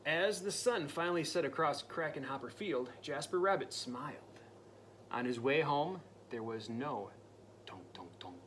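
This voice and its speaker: A man reading a spooky picture book aloud to a child, his voice swooping wide and lively and a bright, forceful edge to it. He is playful and dramatic, sliding into hushed whispers for the creepy bits, and a faint hiss of noise sits under him. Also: fairly high pitch, moderate pace. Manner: dramatic, drops to spooky whispers and jumps to loud cries; playful asides to the listening child. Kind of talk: storybook read-aloud.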